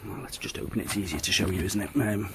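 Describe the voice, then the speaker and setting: A man in his thirties from Liverpool, chatting casually on a home vlog with a softened Liverpool accent, rambling with many restarts and ums, filmed on a handheld camera in his dining room. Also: sits low, steady tone, quick pace, background noise. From Liverpool, England. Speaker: hobbyist vlogger. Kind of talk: casual home video chat.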